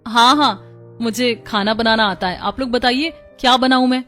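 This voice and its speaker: A woman's voice: on the high side, normal speed, a bit bright, soft background music, lightly acted. A woman playing polite, homely young Indian daughters-in-law in a cartoon family story. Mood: friendly.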